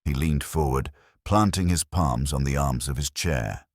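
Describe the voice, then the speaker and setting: A man's voice: very deep voice, a little slow, no room sound, clean studio sound. A man reading first-person detective fiction as an audiobook narrator, in a scripted storytelling style.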